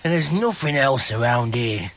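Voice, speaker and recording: An actor playing a sour, blunt, grumbling out-of-work villager in a video game cutscene. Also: male voice, medium pitch, expressive, normal speed, fairly bright tone. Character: sour, foul-mouthed out-of-work village carpenter.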